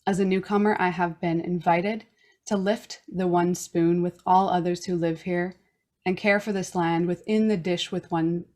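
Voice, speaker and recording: A woman from Newfoundland hosting a wellness podcast, reading a reflective personal statement in a slow, earnest way. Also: on the low side, a little mellow. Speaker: podcast host. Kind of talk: reading a personal land acknowledgment and intro.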